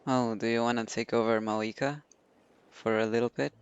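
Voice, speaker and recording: A man's voice: medium pitch, steady tone, moderate pace, clean tone. Casual male game streamer, slightly dull mic. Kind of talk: casual reaction commentary. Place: indoors at a computer. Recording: muffled mic over soft game music.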